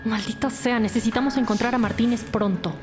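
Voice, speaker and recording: A woman's voice: fairly high pitch, steady tone, a little fast, close to an everyday voice. Woman playing a firm detective, music behind. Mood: urgent, commanding. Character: firm, no-nonsense female police detective Marino. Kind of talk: scripted crime audio drama dialogue. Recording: music bed under the voice. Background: dramatic music.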